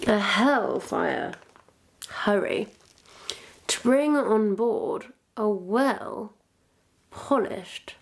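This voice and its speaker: A wicked witch, acted out by a woman in a suspenseful roleplay monologue, smug and theatrical. She draws her florid phrases out extremely slowly, with a gravelly creak in the voice, as if savoring her power over a captive. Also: a little high, slight background noise, heavily acted, poor audio.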